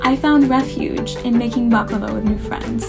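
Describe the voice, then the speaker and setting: A woman from Syria reads a scripted show introduction in an earnest, declamatory way. Her voice sits fairly high. Background music runs underneath. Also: steady tone, normal speed, gravelly. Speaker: co-host.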